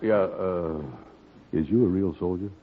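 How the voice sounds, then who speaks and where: Low-voiced bluffing fake soldier, stammering, hesitant, 1940s radio comedy, tinny old broadcast. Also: male voice, unhurried, a crowd in the background. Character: bumbling smooth-talking man posing as soldier. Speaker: Andy, comic lead.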